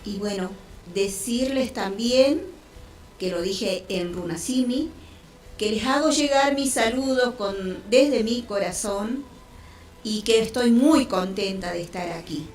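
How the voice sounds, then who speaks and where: Slow-talking Quechua-speaking woman radio host. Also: medium pitch, a little mellow. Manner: unhurried, with frequent pauses and restarts. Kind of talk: warm-hearted radio opening, bilingual greetings. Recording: roomy studio with faint music.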